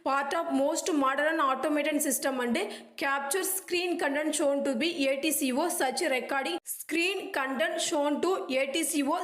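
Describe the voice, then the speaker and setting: A woman's voice: high-pitched, a bit bright. A woman with an Indian accent reading study notes aloud in a choppy way, dropping small connecting words. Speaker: presenter of an educational video. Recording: echoey room.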